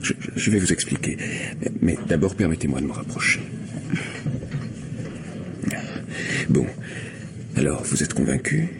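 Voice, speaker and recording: A glib gentleman who insists and wheedles in a low voice. He is talkative and stammers his way along in an old French radio play. The recording is old, with clear background noise. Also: lively intonation, a little fast, heavily acted. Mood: nervous. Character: glib, insistent gentleman pestering a young woman.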